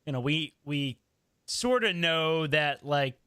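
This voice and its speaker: A man's voice: a little high, lively intonation, slow pace, bright, forceful tone, clear, resonant tone. A man talking casually and informally as a panelist in a podcast discussion.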